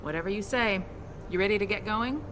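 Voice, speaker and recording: A woman's voice: medium pitch, brisk, noisy background, close to an everyday voice. A woman playing a breezy female pilot in a video game, casual and wry. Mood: teasing. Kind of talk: video game cutscene acting.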